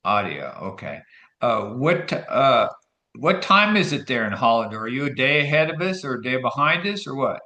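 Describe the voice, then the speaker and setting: A man's voice: mid-range voice, big pitch swings, normal speed, pushed and bright. Chatty man in an echoey room. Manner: chatty, inquisitive. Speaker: session participant. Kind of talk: casual small talk.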